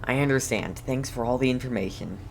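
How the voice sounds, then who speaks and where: A woman's voice: extremely low pitch, a little fast, gravelly, noisy background. A woman gaming streamer giving laid-back, casual playthrough commentary in brief reactions.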